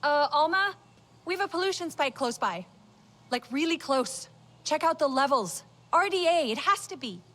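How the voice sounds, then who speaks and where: A high-voiced, anxious technician. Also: female voice, lively intonation, moderate pace, sharp tone. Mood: alarmed. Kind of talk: cinematic video game dialogue.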